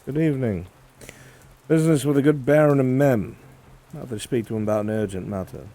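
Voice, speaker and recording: A man's voice: medium pitch, fairly quick, mellow, slight background noise, pitched above the performer's own voice, natural voice. A man playing a brusque, wary fantasy officer with a clipped, formal, commanding manner in a live roleplay.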